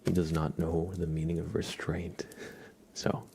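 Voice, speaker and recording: A man hosting an ASMR livestream, chatting softly and gently and often slipping into whispers. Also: sits low, completely flat delivery, unhurried, creaky. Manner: gentle, hushed, drifting into whispers. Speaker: livestream host.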